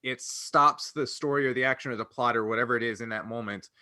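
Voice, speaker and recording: A man's voice: medium pitch, fast talker, sharp tone. A man co-hosting a podcast, explaining his thoughts conversationally in a casual roundtable chat. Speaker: podcast co-host.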